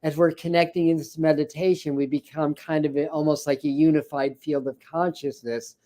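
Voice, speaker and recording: A man with a scratchy, hoarse voice hosting a spiritual livestream, chatting casually with many fillers and restarts. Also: a little high, level tone, normal speed, dark tone. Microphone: ring light setup, speaking to camera.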